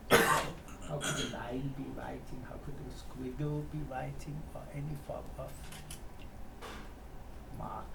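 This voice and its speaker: A man from Germany with a creaky voice leads a writing workshop. He talks in a reflective, halting way and puts questions to the group. He is recorded away from the mic in an echoey, noisy room. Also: mid-range voice. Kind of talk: informal spoken introduction to a workshop. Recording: echoey room, distant mic, clear background noise.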